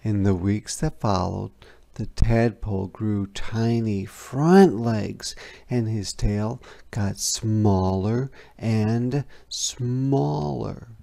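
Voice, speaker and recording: A storybook reader giving an expressive, deliberate, dramatic read-aloud narration for children. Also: mid-range voice, creaky.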